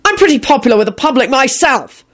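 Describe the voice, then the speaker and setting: Defiant young man , played by a woman reader; raised pitch, lively delivery. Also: fast talker, heavily acted. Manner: defiant, hissing. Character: young adult man, hot-tempered hero.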